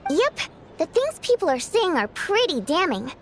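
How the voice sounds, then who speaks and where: A woman playing a proud, easily offended theater singer in scripted video game dialogue, with an expressive, theatrical, pointed delivery. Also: very high-pitched, normal speed, a little hiss or hum, exaggerated voice. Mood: indignant.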